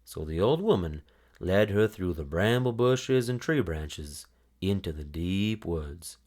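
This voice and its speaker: Deep-voiced, animated male storyteller. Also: takes it slowly. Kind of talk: expressive children's read-aloud narration.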